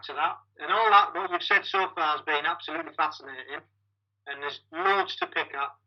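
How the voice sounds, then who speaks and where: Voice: medium pitch, fairly quick. A podcast host asking interview questions in a conversational way and restarting phrases. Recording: dull, lo-fi sound.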